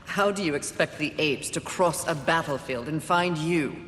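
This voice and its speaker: A woman's voice: deep voice, moderate pace, a bit bright. A woman playing a haughty, scornful female rider of the apocalypse in a video game, sardonic and imperious. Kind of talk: video game cutscene acting. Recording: echoey, reverberant hall, clear background noise.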